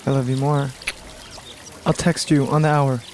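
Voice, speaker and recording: A man's voice: medium pitch, flat delivery, normal speed, noisy background, close to an everyday voice. A man playing an earnest, devoted boyfriend and amateur warfare buff in a radio drama. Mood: tender, earnest.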